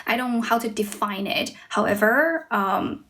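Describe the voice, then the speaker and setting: A young woman from China hosts a casual English-practice livestream, speaking English with a Chinese accent that slips toward American and British sounds. Her voice sits a little high, and she talks in a loose, chatty way, often restarting sentences and pausing to thank viewers. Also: expressive, fairly bright tone. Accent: Chinese, mixed with American and British.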